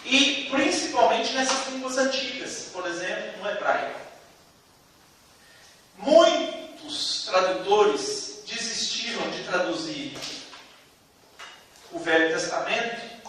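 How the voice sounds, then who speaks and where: A man with a Brazilian Portuguese accent lecturing a theology class in a didactic way, explaining through examples with emphatic pauses. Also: sits high, expressive, bright, forceful tone. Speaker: theology teacher. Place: classroom, indoors. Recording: echoey room, distant mic, faint noise.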